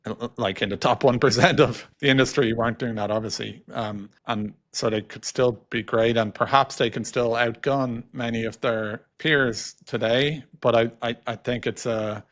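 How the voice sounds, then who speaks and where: A man's voice: mid-range voice, fairly even intonation, normal speed, few pauses, a bit bright. A middle-aged man giving thoughtful interview answers as a guest, speaking hesitantly and often repeating his words. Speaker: podcast guest, technology executive.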